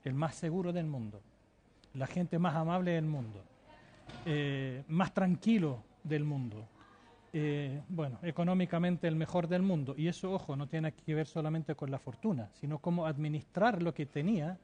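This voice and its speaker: A man's voice: a little high, moderate pace, warm tone, lo-fi sound. A man giving a TV interview, answering fervently and insistently, often hesitating and repeating words. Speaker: interview guest living in the Emirates.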